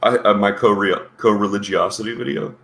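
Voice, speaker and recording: A man chatting as a guest on a live stream, halting and restarting often, his voice a little gravelly and congested from a sinus infection. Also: fairly low pitch, steady tone, fairly bright tone. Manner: hesitant, halting with repeated starts. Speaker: guest co-panelist, content creator. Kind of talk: casual live stream chat.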